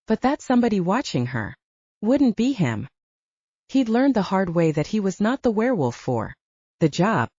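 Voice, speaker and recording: A woman's voice: fairly low pitch, warm tone, no echo. A woman reading scripted fiction as an audiobook narrator, in a slightly dull recording.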